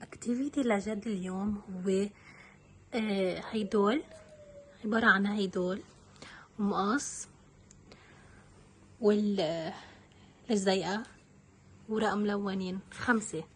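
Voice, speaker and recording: A woman's voice: mid-range voice, steady tone, a little slow, long pauses, fairly bright tone, a little hiss or hum. A woman with a Lebanese accent, a mother talking casually in a homemade kids' craft video.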